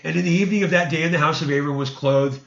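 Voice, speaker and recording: A man's voice: a little high, racing, creaky, roomy sound. A man reading scripture aloud as theatrical narration, now and then stumbling over words, in a lo-fi recording. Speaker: reader. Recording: dull, lo-fi sound.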